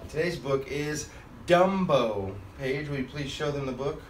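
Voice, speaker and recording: A man reading a children's storybook aloud as a father and host, in an expressive manner. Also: a little high, unhurried. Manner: expressive, acts out character lines. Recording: roomy, distant mic, faint background noise.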